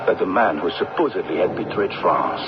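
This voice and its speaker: An actor playing an impassioned crusading French novelist, narrating gravely and theatrically in a radio drama. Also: male voice, low voice, animated, brisk, heavy background noise. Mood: grave, dramatic. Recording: muffled old radio recording, music behind.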